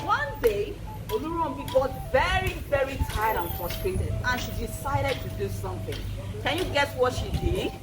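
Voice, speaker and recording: A woman's voice: high-pitched, very animated, moderate pace, heavy background noise. A woman with a Nigerian accent tells folktales to children as host, in a theatrical, interactive way with repeated phrases and questions.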